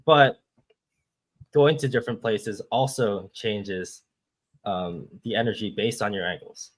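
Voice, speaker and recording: A man's voice: on the low side, unhurried. A man from Hong Kong, a guest astrologer, patiently explaining step by step over a video call. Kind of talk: explaining with shared screen.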